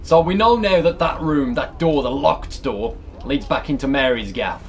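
A man's voice: mid-range voice, animated, normal speed. A British man, around his thirties, giving casual, sweary, exasperated reaction commentary as he plays a horror game. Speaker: let's player. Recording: clear background noise and game ambience.

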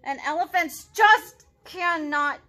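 Woman voicing a loud, gloomy, earnest elephant. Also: very high-pitched, slow pace, voice pushed up, heavily acted. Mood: frustrated. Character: gloomy, earnest male elephant who struggles at dancing.

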